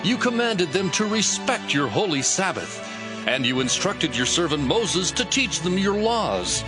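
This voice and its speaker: High, sweeping impassioned Levite. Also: male voice, big pitch swings, unhurried, music in the background. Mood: solemn awe. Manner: oratorical, solemn. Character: impassioned male Levite recounting God's deeds in prayer. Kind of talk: dramatized scripture reading.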